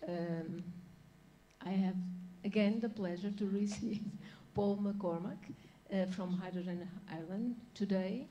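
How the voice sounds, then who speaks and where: Female session host, mellow, hesitant intro, dull audio with faint hum. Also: medium pitch, a little slow. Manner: hesitant, many fillers. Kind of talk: brief introduction. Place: lecture hall.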